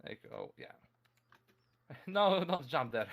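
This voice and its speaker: A man's voice: mid-range voice, wildly swooping pitch, takes it slowly, gravelly, a bit bright. A young man with a Polish accent giving a casual streamed talk, answering chat questions with many fillers, restarts and frequent chuckles. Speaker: convention presenter.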